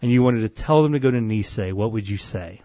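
Rambling deep-voiced man on a podcast. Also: fairly quick, warm tone. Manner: rambling storyteller, many restarts and acted-out quotes. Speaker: podcast co-host. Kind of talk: casual podcast banter.

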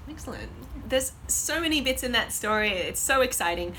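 A woman hosting a podcast, reacting with enthusiasm and quick interjections. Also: on the high side, lively intonation, bright, forceful tone, slight background noise, rough recording. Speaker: podcast host.

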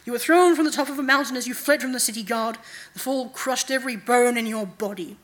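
A woman voicing a cold, manipulative female night god in a deliberate, commanding manner, as in a fantasy audiobook. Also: fairly high pitch, natural voice. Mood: calm, grave.

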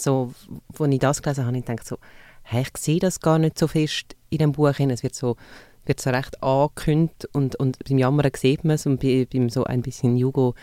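A man's voice: mid-range voice, warm tone, no echo, studio quality. A man with a Swiss German accent, interviewing an author in a casual way and thinking aloud as he circles toward his questions.

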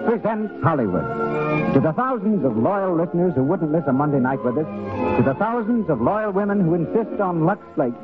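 A dull 1930s radio recording with loud music behind an American male announcer. His voice is high and lively as he introduces a live show and its sponsor in period radio style. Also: normal speed.

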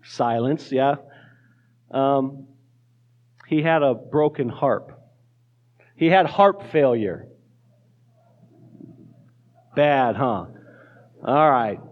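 A man's voice: medium pitch, animated, drawn-out words, long pauses, highs cut off. A man preaching a casual sermon in a church, joking lightly and often restarting his words. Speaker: pastor. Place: church sanctuary.

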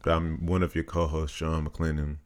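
A man's voice: a bass voice, monotone, moderate pace. A man co-hosting a casual faith podcast, rambling and correcting himself as he talks. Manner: rambling, self-correcting, many fillers, not husky. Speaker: podcast co-host.

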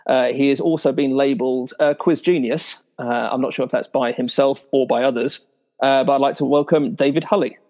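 A man's voice: mid-range voice, fairly even intonation, a little fast, darkish tone. Joking man hosting a quiz. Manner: joking, reads introductions with fillers. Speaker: podcast quiz host.

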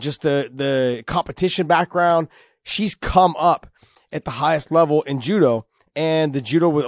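A man in his forties with a slightly higher voice chats loosely on a podcast, rambling and cracking jokes with frequent laughs. The sound is dry and close, with no room around it. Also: no room sound. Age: 40s. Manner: jokey, rambling, laughs often. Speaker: podcast co-host, former pro fighter. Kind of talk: casual podcast chat.

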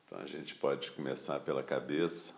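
Very deep-voiced Brazilian man guiding meditation. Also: creaky. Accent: Brazilian Portuguese. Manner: calm, unhurried, short phrases with pauses. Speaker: meditation teacher leading a guided practice. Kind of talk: guided meditation instruction.

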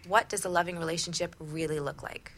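A woman's voice: fairly low pitch, fairly even intonation, fast, fairly bright tone. A woman co-hosting a talk radio show as a relationship coach, in a partly scripted presenting style.

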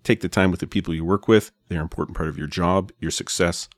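A man's voice: low-pitched, steady tone, fast, dry recording, clean studio sound. A man co-hosting a podcast, chatting casually and addressing listeners directly. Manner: friendly, wraps up the show addressing listeners. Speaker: podcast co-host.

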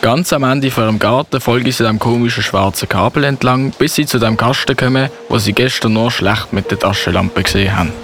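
A man's voice: mid-range voice, brisk, hardly any pauses, fairly bright tone, a little hiss or hum. A man narrating a radio play story for children.

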